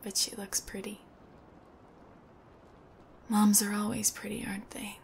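A woman's voice: mid-range voice, completely flat delivery, a little slow, creaky, a little hiss or hum. A young woman playing a nervous, chatty, strange young female thief in an intimate ASMR roleplay, with a hesitant, rambling, awkward delivery. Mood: subdued, wistful.

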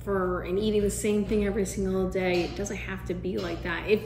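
A woman's voice: medium pitch, little pitch movement, fairly quick, reverberant, over music. A young woman in her twenties chatting to camera, explaining her meal prep steps casually and restarting sentences as she goes. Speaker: vlogger giving meal prep tips. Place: indoor room, seated at a wooden table. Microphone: camera across the table.

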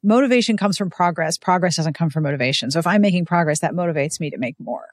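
A woman's voice: lowish voice, fast, dry recording, studio quality. A woman hosting a podcast, chatting casually and expressively in an interview. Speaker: podcast host.

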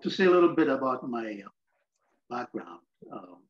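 A man from Ethiopia with an Ethiopian accent, Amharic his first language, speaking as a poet at an online poetry event in a halting way with many fillers. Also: medium pitch, sing-song, breathy edge. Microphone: video call. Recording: dull video call audio.

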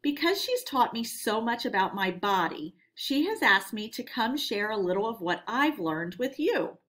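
A woman's voice: fairly high pitch, sing-song, moderate pace, fairly bright tone. A woman teacher giving a read-aloud lesson for children in an instructional manner, addressing her pupils directly.

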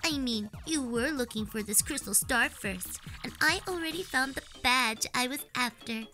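High, flirty mouse thief. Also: female voice, moderate pace, pushed and bright, soft background music. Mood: sly, intrigued. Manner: coy, teasing, theatrical. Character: flirtatious, sly female cartoon mouse thief. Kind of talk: video game fan voice acting.

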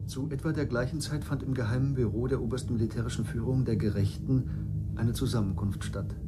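An actor playing a courteous survivor of a destroyed world, narrating in a measured, formal way in a radio drama. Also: male voice, mid-range voice, fairly even intonation, natural voice. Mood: measured, grave. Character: courteous male witness from a destroyed planet, explaining. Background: music.

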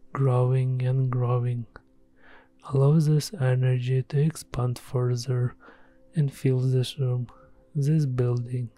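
Casting: man for guided meditation, soft dark tone, very slow and gentle, measured delivery. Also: medium pitch. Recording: soft music behind the voice. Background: background music.

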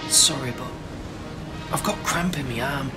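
An actor playing a quiet, awkward, agreeable young man on a date in a radio play. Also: mid-range voice, a little slow, over loud music, lightly acted. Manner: quiet, tentative.

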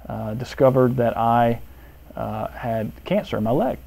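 A man in his thirties speaks an unscripted testimony, pausing often with fillers. His tone is warm and mellow, recorded close on a lapel mic indoors. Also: mid-range voice, creaky. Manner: hesitant, many uh fillers. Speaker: seminary professor.

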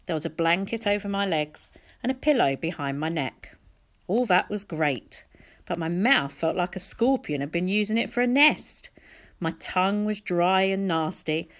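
Casting: female audiobook reader, slightly low voice, narrating a storybook. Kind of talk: storybook narration, reading aloud.

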